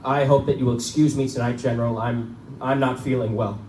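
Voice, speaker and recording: A man's voice: medium pitch, steady tone, brisk, a little mellow, lightly acted. A man playing an uneasy but defiant hunter who has become the prey, in a live theatrical horror drama. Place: live performance in a bookstore. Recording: distant, echoey live room recording with noise.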